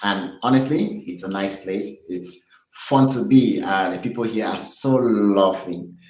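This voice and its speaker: Chatty Cameroonian trader in an echoey room. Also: male voice, on the low side, unhurried, a little mellow. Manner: upbeat, repetitive, chatty with viewers. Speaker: trading streamer. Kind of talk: live trading stream, chart lesson.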